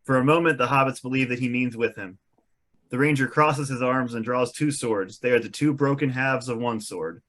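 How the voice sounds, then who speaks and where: Medium-pitched man narrating a script. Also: normal speed, a bit bright. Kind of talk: scripted narration at a table read.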